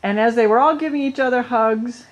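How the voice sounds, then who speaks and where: A woman's voice: on the high side, brisk, muffled recording. A woman narrating a puppet show, telling the story with colloquial asides.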